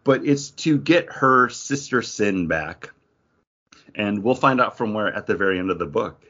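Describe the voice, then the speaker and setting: A man with a fairly low voice talks as a podcast host in a casual review style. His delivery is conversational and full of slangy asides. The audio is slightly dull and lo-fi. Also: lively intonation, a little slow, sharp tone.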